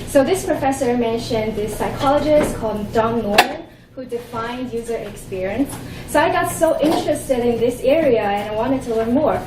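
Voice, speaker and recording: Young Chinese-accented woman presenter, earnest and halting, fairly high voice, heard from afar in an echoey room with clear hum. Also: far from the mic. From Shanghai, China. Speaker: conference presenter. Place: conference room.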